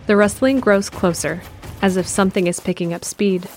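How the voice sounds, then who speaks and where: A woman's voice: medium pitch, normal speed, a little mellow. A woman reading scripted narration for an audio drama. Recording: music behind the voice.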